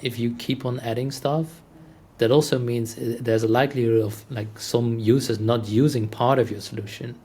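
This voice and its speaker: A man's voice: mid-range voice, fairly even intonation, moderate pace, warm tone, husky. A man hosting a podcast interview in a casual conversational style, explaining points and checking for agreement.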